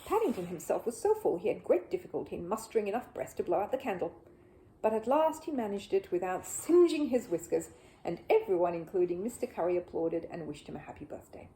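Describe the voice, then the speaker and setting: A woman narrates a children's storybook with a soft, mellow tone. Her pitch moves around a great deal, giving the reading a lively, expressive shape. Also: fast talker, rough recording. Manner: animated.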